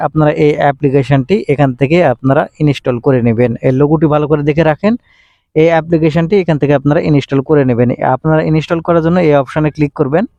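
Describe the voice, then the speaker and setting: Male tech tutorial narrator, soft mellow tone, dry close sound, instructional and repetitive delivery. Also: a little high, dry recording, highs cut off. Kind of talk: step-by-step screen tutorial narration.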